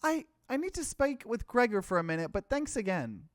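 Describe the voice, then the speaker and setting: Game streamer voicing a timid, high-pitched young woman. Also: big pitch swings, a little fast, dry recording, clean studio sound, close to an everyday voice. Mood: shy gratitude. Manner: stammering, hesitant. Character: timid, soft-hearted young woman, about twenty. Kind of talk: acted visual novel reading.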